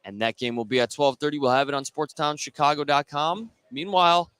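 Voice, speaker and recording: An American man calling a high school basketball game live as a radio play-by-play announcer. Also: a little fast, a bit bright, no room sound. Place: courtside in a basketball gym. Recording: clearly noisy gym with bouncing ball.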